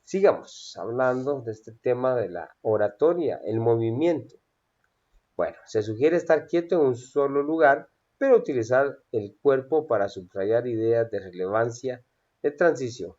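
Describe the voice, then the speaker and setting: A man's voice: mid-range voice, expressive, unhurried, darkish tone, highs cut off. A man teaching a class on public speaking, hesitating often and checking in with his students. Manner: hesitant with frequent "eh" fillers and checking "¿sí?". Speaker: instructor.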